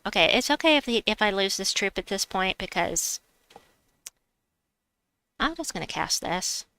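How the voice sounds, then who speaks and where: A woman's voice: mid-range voice, expressive, moderate pace, bright, forceful tone. A woman with a slight New York accent, streaming a game and chatting with her viewers as she plays. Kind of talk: casual live-stream chat while gaming.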